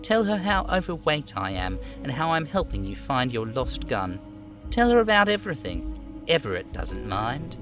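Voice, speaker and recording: Man voicing a theatrical, swooping, high-pitched union boss. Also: sing-song, moderate pace, fairly bright tone, soft background music. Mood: falsely open. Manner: smooth, theatrical, over-familiar. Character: overweight male union boss, falsely chummy, theatrically indignant.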